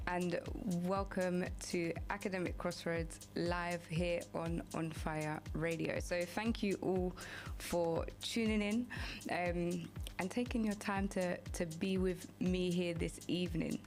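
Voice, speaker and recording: Woman radio host, low-pitched and halting, soft music bed behind. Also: steady tone. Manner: hesitant, many ums and restarts. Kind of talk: casual radio talk.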